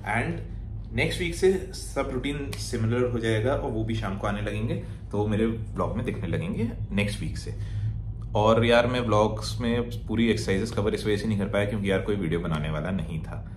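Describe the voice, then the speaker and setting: A man's voice: fairly low pitch, brisk. A man, talking casually to camera as a fitness vlogger and calling viewers brother and friend. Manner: chatty, friendly, sprinkles English phrases, calls viewers bhai and yaar. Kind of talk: casual vlog chat to camera. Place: indoor rooms, a gym and a bedroom. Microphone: handheld phone selfie and fixed camera. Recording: roomy echo, faint ambient noise.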